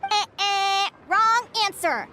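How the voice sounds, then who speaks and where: A woman's voice: very high-pitched, expressive, takes it slowly, loud music behind the voice, full character voice. A woman voicing an enthusiastic young female adventuring advisor in an anime game, perky and teacherly. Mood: playful, instructive. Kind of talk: anime video game acting.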